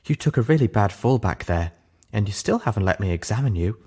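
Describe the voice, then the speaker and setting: A man's voice: lowish voice, expressive, brisk, dark tone, breathy edge. A man voicing a prim, sardonic female pony medic who scolds in refined, precise words. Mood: insistent.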